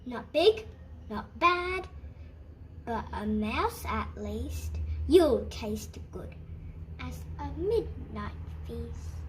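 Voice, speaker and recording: A woman's voice: on the high side, lively intonation, extremely slow, slight background noise, low-quality recording. A woman voicing a curious, adventurous young female monster child in a children's storybook, with exclamations and wondering questions. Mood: indignant, scheming. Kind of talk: children's storybook read aloud.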